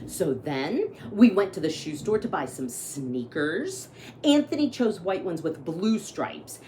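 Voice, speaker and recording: A woman's voice: medium pitch, slight background noise. A woman voicing a grumpy, aggrieved young boy who narrates his bad day in a storybook, with emphatic complaining. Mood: sulky.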